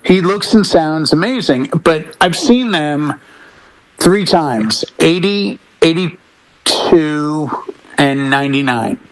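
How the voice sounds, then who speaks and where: A middle-aged man co-hosting a casual music chat, telling stories with deliberate pauses and emphasis. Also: a little high, lively intonation, low-quality recording. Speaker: talk show co-host.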